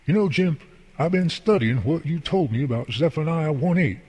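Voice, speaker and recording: A man's voice: on the high side, expressive, moderate pace, darkish tone, slightly rough. Man acting as folksy devout boss, reverberant voice. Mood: friendly, earnest. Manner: folksy, preachy, explaining at length. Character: folksy, devout male boss and craftsman, earnest mentor. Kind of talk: amateur radio drama dialogue. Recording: long hall reverb.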